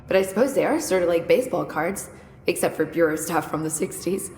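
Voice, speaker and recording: An actress playing a witty, curious young research scientist in a video game, explaining things in a precise, wry, chatty way. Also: female voice, on the low side, animated, fairly quick, darkish tone. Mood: chatty, playful. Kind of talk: video game dialogue acting. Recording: echoey hall reverb with noisy game ambience.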